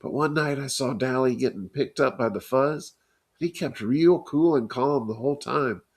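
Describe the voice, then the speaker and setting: A man reading a novel aloud and voicing a scared, gentle teenage boy on the run, in a shaky, pleading way. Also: medium pitch, moderate pace, natural voice. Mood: earnest.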